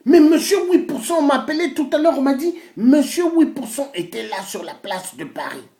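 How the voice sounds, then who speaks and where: Animated man, high-voiced and declamatory. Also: moderate pace. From Congo-Brazzaville. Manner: declamatory, boastful, repeats phrases for emphasis. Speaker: self-styled pastor and political activist. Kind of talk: impassioned political monologue. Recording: echoey room sound.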